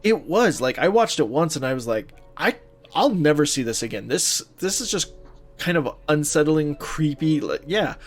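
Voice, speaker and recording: A man co-hosting a podcast, chatting casually and a little haltingly, often feeling his way through his thoughts. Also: on the high side, big pitch swings, faint music behind. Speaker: podcast co-host. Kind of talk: casual chat about movies and video games.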